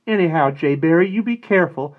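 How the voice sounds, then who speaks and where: A man reading aloud, doing a fretful, protective country mom in a storybook tale. Her voice sits well above the reader's own and comes out louder and more insistent. The sound is thin and tinny, like a phone line. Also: a little high, a little fast, voice pushed up, lightly acted. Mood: worried. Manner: anxious, pleading.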